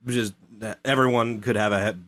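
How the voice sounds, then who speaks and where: A man's voice: on the low side, steady tone, brisk, fairly bright tone. A man hosting a casual comedy history podcast, profane and rambling, drinking as he talks. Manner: profane, rambling, slips into goofy voices for historical figures. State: drinking while talking. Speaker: podcast host.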